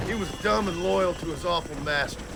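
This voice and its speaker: An actor playing a hard-bitten, skeptical gunslinger in a video game cutscene, speaking in a dry, terse, sardonic way. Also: male voice, high-pitched, brisk, gravelly, background noise. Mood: grim, determined.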